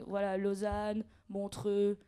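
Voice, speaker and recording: A woman's voice: mid-range voice, completely flat delivery, slow pace, warm tone, clean tone. A woman from Switzerland, a guest on a podcast, explaining things earnestly in casual chat with many fillers. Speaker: guest, freestyle rapper and actress.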